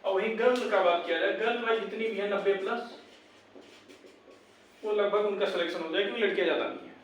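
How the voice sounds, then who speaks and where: High-pitched young man in a very echoey room. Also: fast talker, a little hiss or hum. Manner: insistent, repetitive, addresses viewers as brothers. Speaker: YouTube exam-update presenter. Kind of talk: informal advice and rallying talk to viewers. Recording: very echoey room, distant microphone.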